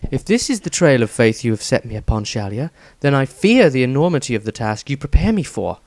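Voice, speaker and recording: A man's voice: medium pitch, very animated, fairly quick, a little mellow, no echo. A man voicing a devout, anxious woman warrior with earnest, formal speech in a fantasy story. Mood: fearful, earnest.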